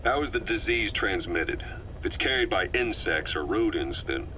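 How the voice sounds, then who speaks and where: A man's voice: fairly low pitch, expressive, normal speed, sharp tone, lo-fi sound, slight background noise. An actor playing a tough, suspicious military man who questions bluntly in a video game cutscene. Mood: probing.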